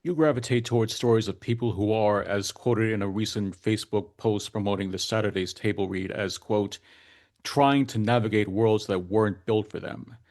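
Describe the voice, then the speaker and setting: A man hosting a podcast interview, putting prepared questions in formal, measured wording. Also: on the low side, a little mellow.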